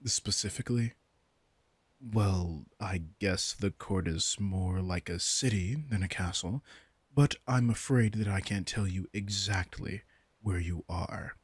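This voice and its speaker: Low, husky, unhurried vampire king played by a man. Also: no room sound. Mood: smug, casual. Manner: unhurried, smug, casually menacing. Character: commanding, teasing male vampire king, smug predator. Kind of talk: roleplay audio drama, addressing the listener.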